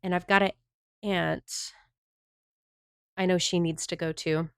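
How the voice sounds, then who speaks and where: A woman's voice: fairly low pitch, steady tone, slow pace, creaky, clear, resonant tone, no room sound, clean studio sound. A woman from the Southern United States chatting casually on a livestream, rambling and answering her viewers' chat messages.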